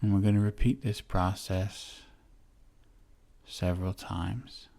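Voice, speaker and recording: A man leading a guided meditation in a gentle, soothing manner, with long pauses between short phrases. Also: deep voice, steady tone, creaky. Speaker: guide of a relaxation exercise. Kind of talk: guided meditation, body scan.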